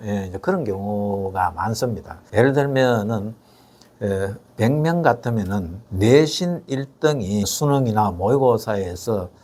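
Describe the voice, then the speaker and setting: An older man speaking sits as the expert guest and lays out his points in a steady, measured way. He pauses on soft fillers and often turns his explanations into rhetorical questions. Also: lowish voice. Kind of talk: explanatory interview talk.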